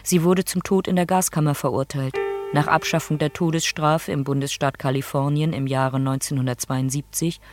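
Sober male narrator with higher voice. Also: steady tone, music in the background. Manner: measured, matter-of-fact. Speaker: documentary narrator. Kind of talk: scripted narration, sober and factual.

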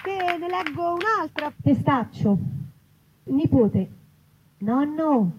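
A woman's voice: on the high side, lively intonation, normal speed. A woman, a writer and actress reading comic vignettes from her own book to a live event. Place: live festival stage, outdoors in an olive grove. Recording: dull, noisy live event recording.

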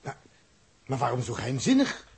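An actor playing a plain-spoken, sceptical, excitable spaceship crewman in 1960s radio drama style, with blunt colloquial delivery. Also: medium pitch, moderate pace, creaky, slightly rough, heavily acted.

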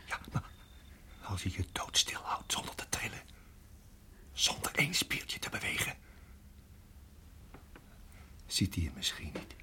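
A man acting in a radio play as a protective husband, speaking in a halting, urgent hush. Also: deep voice, very animated, exaggerated voice. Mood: tense, hushed. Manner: hushed, halting, urgent whispering. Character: tense, protective husband guiding his endangered wife.

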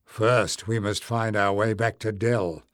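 Man doing a gruff, stubborn male companion. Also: lowish voice, steady tone, slow pace, a bit bright, no room sound, clean studio sound. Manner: growling, demanding.

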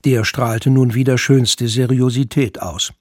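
A man's voice: mid-range voice, steady tone, unhurried, darkish tone, no echo, studio quality. A man narrating a scripted radio drama.

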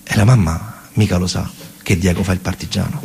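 A man with a Roman accent delivering a dramatic theatre monologue in a colloquial, emphatic way, his voice husky and gravelly. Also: deep voice, fairly even intonation, a little fast, a little mellow, slight background noise. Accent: Roman Italian. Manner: colloquial, emphatic storytelling with repeated phrases. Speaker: stage actor and storyteller.

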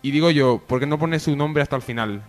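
A man's voice: a little high, a little fast, faint music behind. A man with an accent from Spain, hosting a comedy radio show in casual, bantering style.

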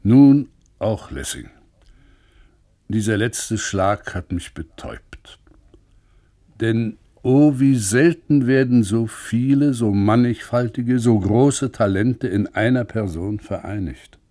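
An actor playing a grieving, admiring man of letters, reading a eulogy for radio in a solemn, deliberate, rhetorical manner. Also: on the low side, animated, mellow. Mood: solemn grief. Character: grieving, admiring male literary friend writing a eulogy.

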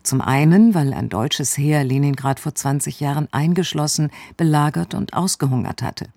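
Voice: mid-range voice, moderate pace, creaky, darkish tone, no room sound. A radio presenter giving a scripted introduction to an audio drama. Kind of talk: scripted narration.

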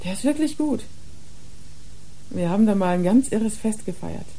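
Young female drug user in a radio crime play, frank and defiant, soft dark tone. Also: medium pitch, animated, normal speed, gravelly. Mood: frank, remembering. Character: worn young drug-using woman, confiding and defiant. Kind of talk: German radio crime drama acting.

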